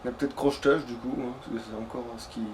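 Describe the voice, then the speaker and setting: A man's voice: medium pitch, fairly even intonation, very fast, darkish tone, rough recording. A man giving casual let's play commentary, rambling in loose slang and swearing freely. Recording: faint background noise.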